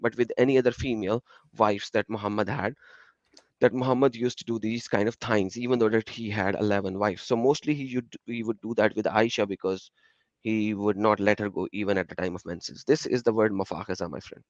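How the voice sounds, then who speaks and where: A man whose first language is Urdu speaks in an online discussion, reading texts aloud and glossing each term in turn. His voice sits at an ordinary middle pitch. It comes through a video call with dull, lo-fi, dry sound. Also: steady tone, a little fast, dry recording. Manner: explanatory, reads passages aloud and glosses terms. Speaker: guest panelist.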